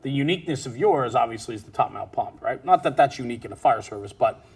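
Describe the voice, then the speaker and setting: Husky-voiced man hosting an interview. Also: medium pitch, animated, fast talker. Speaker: interview host. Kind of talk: conversational interview about fire apparatus.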